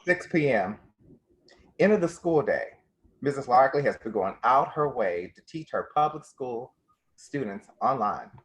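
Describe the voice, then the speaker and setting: A man's voice: mid-range voice, lively intonation, unhurried, fairly bright tone, low-quality recording. A man narrating a scripted drama, introducing scenes.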